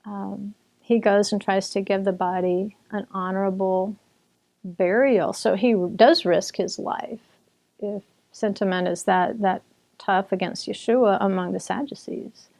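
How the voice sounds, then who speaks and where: This is a woman with a mellow, warm voice explaining history in an easy, conversational way. She talks like a teacher chatting with a friend, restarting sentences and circling back as she goes. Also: medium pitch, fairly even intonation, unhurried, gravelly. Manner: chatty, with restarts and occasional laughter. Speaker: author and Bible teacher.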